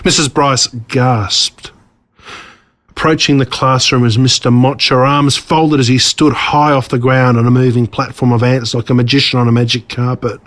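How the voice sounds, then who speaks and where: A man with an Australian accent reading his own story aloud as a scripted narration, measured and dramatic. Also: mid-range voice, fairly even intonation, a bit bright.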